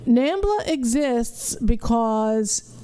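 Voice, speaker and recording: A woman's voice: fairly high pitch, expressive, very slow, dark tone, clear, resonant tone. A middle-aged woman hosting a podcast, giving casual, blunt commentary with some swearing. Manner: blunt, profane. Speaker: podcast host. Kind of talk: casual watch-along commentary.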